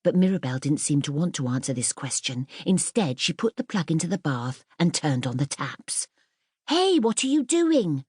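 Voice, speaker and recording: A woman reading a children's story as an audiobook narrator, in an expressive, scripted storytelling style. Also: a bass voice, normal speed, hardly any pauses, breathy edge, no echo, studio quality.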